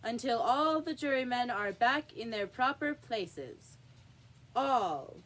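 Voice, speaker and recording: A woman's voice: fairly high pitch, lively intonation, takes it slowly, a bit bright, full character voice, poor audio. A woman voicing a grave, self-important king in a storybook reading, with an emphatic, pompous delivery.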